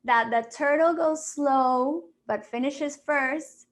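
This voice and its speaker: A woman's voice: high-pitched, takes it slowly. Upbeat woman. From Peru. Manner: upbeat, encouraging, with fillers and restarts. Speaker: financial planner. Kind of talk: webinar presentation. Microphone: video call.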